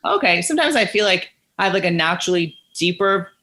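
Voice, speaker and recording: A woman's voice: lowish voice, lively intonation, fast, pushed and bright. A woman guest speaking candidly and casually, rambling with lots of fillers. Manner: frank, rambling with many fillers.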